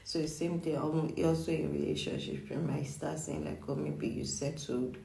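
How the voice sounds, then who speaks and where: Casting note: morning-hoarse astrologer, gravelly and husky, chatty reading in an echoey room. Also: medium pitch, fairly even intonation, normal speed, warm tone. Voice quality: husky, croaky. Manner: chatty, repeats words, frequent "like" and "okay?". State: hoarse from just waking up. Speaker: psychic astrologer. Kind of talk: casual weekly horoscope reading. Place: indoor room with echo.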